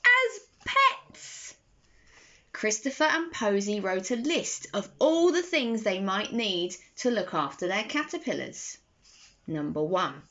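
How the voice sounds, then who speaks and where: Slow, animated young woman reading a storybook. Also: fairly high pitch. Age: young adult. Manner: slow, deliberate, animated phrasing for children. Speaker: storybook reader. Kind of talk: expressive children's bedtime story reading. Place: bedroom, indoors. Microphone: phone at arm's length. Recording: slightly dull phone recording.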